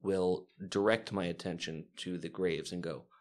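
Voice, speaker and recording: A man playing a tabletop game, talking casually and halting as he searches for words. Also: low voice, fairly even intonation. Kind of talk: casual in-character roleplay and chat.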